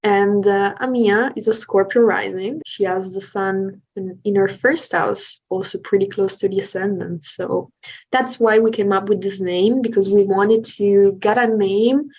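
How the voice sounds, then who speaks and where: A woman's voice: medium pitch, steady tone, a little slow, a little mellow, slightly rough, highs cut off. Swiss-woman co-hosting a podcast. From Italian-speaking Switzerland. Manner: earnest, explanatory, with some hesitations. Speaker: podcast co-host. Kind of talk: casual podcast chat.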